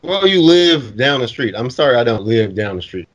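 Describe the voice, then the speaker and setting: A man's voice: normal speed, dull audio. A man co-hosting a sports podcast, teasing his friends in casual banter. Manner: teasing, trash-talking, lively pitch swings. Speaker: podcast co-host.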